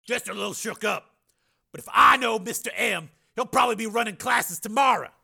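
Grumpy older mechanic, gravelly and raspy, high and sharp-edged, voiced by a male narrator. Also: a little fast, heavily acted. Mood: gruff. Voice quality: gruff, gravelly.

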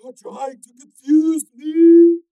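A man voicing an unstable male kidnapper who screams and rants in a drawn-out, raised voice. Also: extremely high pitch, fairly even intonation, mellow, no room sound, voice pushed up, heavily acted. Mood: anguished.

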